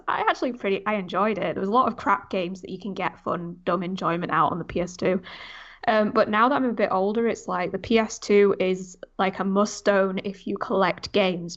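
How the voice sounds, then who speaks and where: A woman's voice: medium pitch, muffled recording. A young woman chatting casually and informally on a gaming podcast, rambling as she goes. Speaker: podcast panelist.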